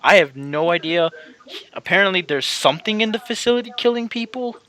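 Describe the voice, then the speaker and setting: A man's voice: high-pitched, animated, brisk, pushed and bright. A man doing casual let's-play commentary as a channel host, rambling and repeating himself as he talks to his viewers.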